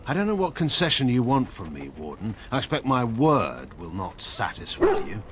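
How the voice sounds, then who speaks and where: A man playing a proud, weary, sardonic veteran warrior nobleman in a fantasy video game, speaking in a wry, grave and measured way with formal phrasing. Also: medium pitch, wildly swooping pitch, noisy background. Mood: guarded, sardonic. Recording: studio voice with game music behind. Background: music.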